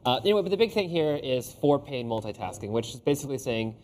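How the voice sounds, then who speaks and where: A man with a mid-range voice gives casual tech commentary as a co-host. He talks quickly despite being tired and sick. There is clear ambient noise around him. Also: mid-range voice, sing-song, a little mellow.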